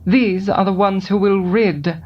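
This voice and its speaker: A woman's voice: medium pitch, measured pace, muffled recording, lightly acted. A woman voicing a tall, proud, imperious noblewoman who speaks in a composed, commanding way.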